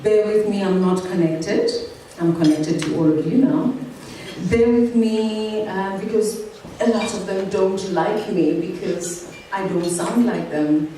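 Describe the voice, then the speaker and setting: A South African woman giving a keynote speech at an awards evening, measured, earnest and reflective. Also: mid-range voice, warm tone, slight background noise. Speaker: keynote speaker. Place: event venue. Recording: echoey hall from far off.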